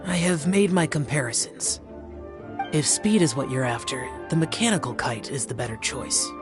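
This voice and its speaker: A man's voice: mid-range voice, moderate pace, music in the background, lightly acted. An actor playing an aloof, reserved young male guardian warrior in a video game, speaking tersely. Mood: aloof, quiet. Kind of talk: scripted video game dialogue.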